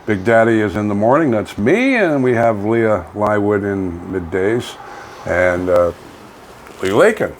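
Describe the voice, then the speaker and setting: Middle-aged man, Canadian accent, lively varied pitch, folksy radio chat, faint background noise. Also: measured pace. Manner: folksy, chatty, uses "eh" and "you know". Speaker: local online radio morning host. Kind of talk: casual co-hosted chat. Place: home studio.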